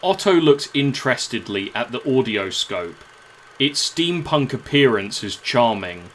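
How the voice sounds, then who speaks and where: A man's voice: medium pitch, big pitch swings, measured pace, a bit bright, slight background noise. A man narrating a scripted audio drama as the storyteller.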